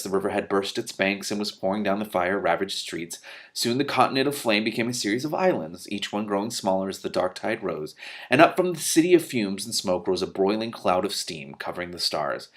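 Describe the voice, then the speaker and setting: A man's voice: fairly low pitch, animated, a little fast. A man reading a novel aloud as host, acting out dialogue expressively and adding casual asides.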